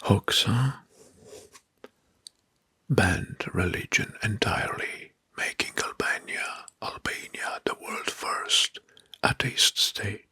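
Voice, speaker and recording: Guy whispering history facts asmr style, slow n crisp-edged bright whisper, short phrases w pauses. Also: on the low side, no echo. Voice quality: breathy, mostly unvoiced whisper. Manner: deliberate, pausing in short phrases, often repeating words. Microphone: close microphone.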